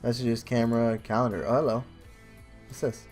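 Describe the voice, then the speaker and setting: A man's voice: mid-range voice, level tone, moderate pace, darkish tone. Casual male gamer over faint music. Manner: joking, informal asides. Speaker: let's play YouTuber. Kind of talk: casual gaming commentary, reading game narration aloud. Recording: faint game music behind.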